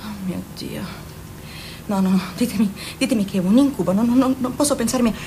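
A woman playing an anxious, overbearing middle-aged mother in a radio play, swinging between shrill cries and hushed dismay. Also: brisk, a little hiss or hum, lightly acted. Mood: despairing.

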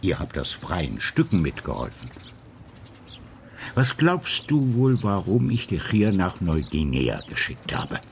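Ein Schauspieler spricht in einem Hörspiel einen gebrechlichen, selbstsicheren alten Polizeichef im Ruhestand, der bedächtig argumentiert. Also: male voice, low voice, sing-song, a little slow, a little hiss or hum, heavily acted. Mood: self-assured, reflective. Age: older. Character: frail, self-assured old retired Dutch police chief. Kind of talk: radio play acting.

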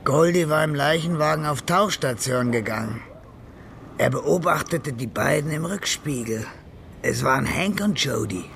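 A man's voice: on the high side, lively intonation, normal speed, vocal fry, fairly bright tone, slight background noise. A man narrating a radio crime drama from a script.

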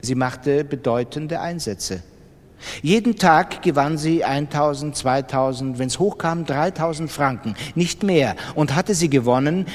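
A man narrating a dramatized literary reading in an expressive, deliberate storytelling manner. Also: fairly high pitch. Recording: long reverberant hall.

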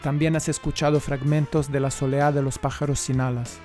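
A man's voice: mid-range voice, little pitch movement, brisk, darkish tone. A man giving a scripted announcement. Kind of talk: scripted narration. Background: guitar music.

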